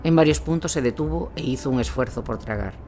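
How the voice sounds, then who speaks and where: A man narrating a scripted audio drama as a war reporter, in a measured, solemn storytelling manner. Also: medium pitch, darkish tone, natural voice. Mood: grave, measured. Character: male war reporter recounting grim events in Iraq. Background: music bed.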